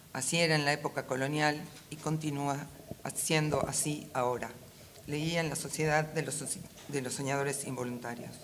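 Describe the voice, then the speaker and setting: A woman with a Rioplatense Argentine accent reads a prepared talk aloud in a measured, formal, literary manner as a panel speaker. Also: low voice. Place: conference room at a book fair. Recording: faint ambient event noise.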